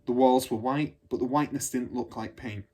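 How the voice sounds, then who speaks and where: Husky man reading a story. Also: medium pitch, steady tone, brisk, darkish tone. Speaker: storybook reader. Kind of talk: scripted children's story narration.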